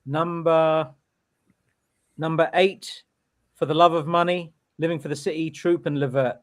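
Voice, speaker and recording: A man co-hosts a podcast with a voice a little higher than most men's. He mocks himself with a laugh, then reads out a ranked list steadily. The chat is casual. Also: measured pace. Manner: joking and self-mocking, steady list reading. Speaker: podcast co-host.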